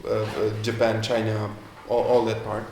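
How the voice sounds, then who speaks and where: A man's voice: on the low side, completely flat delivery, normal speed, darkish tone, slight background noise. A man answering questions as a guest entrepreneur in a live interview, speaking with many fillers and restarts. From Romania. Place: event room. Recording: echoey room with faint ambience.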